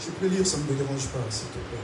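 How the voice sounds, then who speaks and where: A man from Africa preaching a sermon to a congregation, animated and calling out to them. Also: mid-range voice, fast. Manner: animated preaching with call-and-response. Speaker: pastor. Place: large church hall. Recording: echoey hall, distant mic, noisy.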